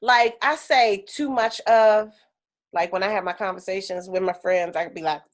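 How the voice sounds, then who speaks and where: A woman teaching an energy healing class on a video call, chatty and animated, with lots of fillers. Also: medium pitch, normal speed.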